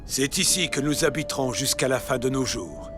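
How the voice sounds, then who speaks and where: A man playing an aged, devout patriarch, serene and resigned, in a dubbed animated Bible story. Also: mid-range voice, moderate pace, over music, close to an everyday voice.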